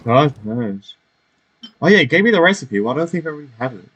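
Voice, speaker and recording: A man giving casual, off-the-cuff let's play commentary, halting and repeating his phrases as he goes. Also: on the high side, very animated, a bit bright, husky, muffled recording. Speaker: gaming video host.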